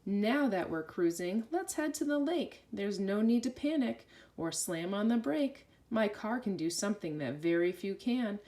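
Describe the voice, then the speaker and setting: Expressive woman reading a rhyming picture book. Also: medium pitch, measured pace, hardly any pauses. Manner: expressive, sing-song rhyming delivery. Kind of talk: rhyming children's read-aloud.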